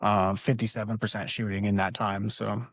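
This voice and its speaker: A man hosting a casual basketball podcast, talking in a relaxed, conversational way and leading the discussion. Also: fairly low pitch, normal speed, no room sound. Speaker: podcast host. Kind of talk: casual sports podcast talk. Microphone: home recording setup.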